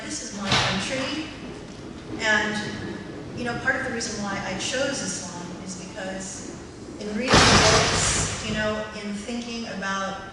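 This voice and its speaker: An American woman speaks as a co-leader of a live question session. Her talk is casual, personal and earnest, at a middling pitch. It comes through with heavy hall echo and noticeable noise. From United States. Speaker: institute director co-leading a Q&A. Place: indoor hall at a live gathering. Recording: echoey hall, distant mic, clear noise.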